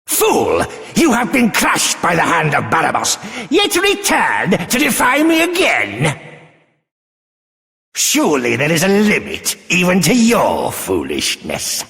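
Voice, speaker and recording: Huge evil demon boss for a game, slow, gravelly, pompous, big cave reverb. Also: male voice, on the high side, wildly swooping pitch, pushed and bright, heavily acted. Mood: scornful fury. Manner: pompous, archaic, grandiose threats. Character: arrogant monstrous archfiend, huge, menacing, man-devouring. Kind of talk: theatrical video game villain acting. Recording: long cavernous reverb.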